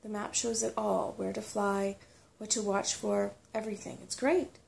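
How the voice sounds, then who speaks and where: A woman's voice: medium pitch, flat delivery, normal speed, gravelly, darkish tone, slight background noise. A woman voicing a shy, polite and eager human boy in a storybook, speaking earnestly. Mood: excited.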